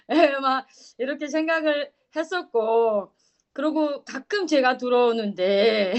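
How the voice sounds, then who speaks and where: A woman offering casual, supportive remarks to a group in an online meeting. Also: high voice. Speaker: meeting participant giving encouragement.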